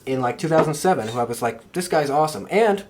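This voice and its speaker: A man's voice: fairly high pitch, animated, fast talker, a little mellow, a little hiss or hum. A young man hosting a wrestling podcast, talking in a casual, conversational way. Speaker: podcast host. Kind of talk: casual chat about pro wrestling.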